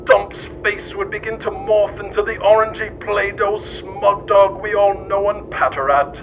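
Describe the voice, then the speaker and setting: A man reading a scripted, absurd comic history as its narrator. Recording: muffled, noisy recording with low rumble.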